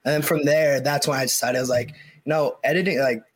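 A man talks as a guest in a casual chat. He tells his story loosely, with fillers and restarts. His voice has an ordinary middle pitch. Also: steady tone, brisk. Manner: easygoing storytelling with many fillers.

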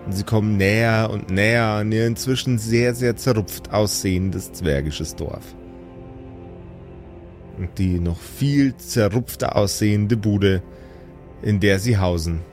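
A man with a fairly low voice works as game master, telling an improvised fantasy story. His narration is measured and descriptive, savouring details over soft background music.

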